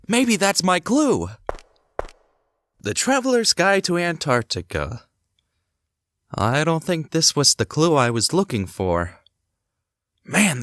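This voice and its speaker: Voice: medium pitch, unhurried, no room sound, clean studio sound. An actor playing a curious amateur sleuth in a hobby audio drama, speaking expressively. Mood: curious, musing. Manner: expressive, swinging between musing and exclaiming. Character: curious amateur sleuth exploring an old house. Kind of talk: hobby audio drama acting, thinking aloud.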